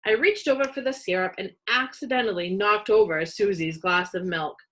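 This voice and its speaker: A woman narrating a children's story aloud in an expressive read-aloud style. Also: medium pitch, normal speed.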